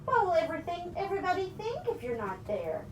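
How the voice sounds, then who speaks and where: A woman storyteller plays an affectionate, firm husband from a picture book. The delivery is coaxing and emphatic, high in pitch with big swings, in a roomy recording. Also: wildly swooping pitch, brisk, darkish tone, exaggerated voice. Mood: reassuring. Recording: roomy, distant mic with background noise.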